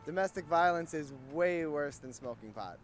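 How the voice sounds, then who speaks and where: A man's voice: fairly high pitch, lively intonation, normal speed. A nineteen-year-old man giving blunt, firm opinions in a street interview. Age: young adult. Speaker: student interviewee. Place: outdoors on a college campus. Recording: faint music underneath.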